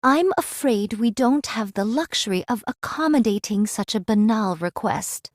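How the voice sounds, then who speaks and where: A proud android swordswoman speaks in a fairly high voice. Her phrasing is old-fashioned, formal and haughty, with a weary edge. It is game drama acting in a very dry recording. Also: animated, normal speed, no room sound. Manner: formal, archaic, haughty diction.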